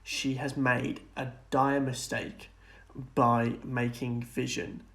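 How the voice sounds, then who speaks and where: A man's voice: medium pitch, flat delivery, slow pace, a bit bright. A man co-hosting a podcast, chatting casually and earnestly as he speculates about film and TV. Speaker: podcast co-host.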